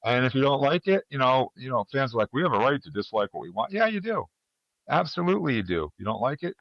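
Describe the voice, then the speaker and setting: Animated man with dull lo-fi sound. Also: mid-range voice, quick pace, no echo. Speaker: podcast host. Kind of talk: casual panel chat.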